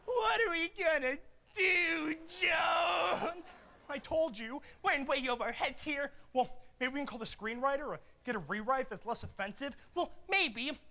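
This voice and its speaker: A man playing a panicky film producer who talks fast and frantically. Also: very high voice, pushed and bright, slight background noise, full character voice. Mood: frantic.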